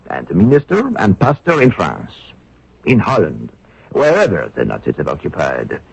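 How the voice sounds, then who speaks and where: An actor playing a grave French Protestant minister in a radio drama, measured and quiet. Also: male voice, low voice, creaky, husky, no echo, full character voice. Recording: muffled old radio broadcast.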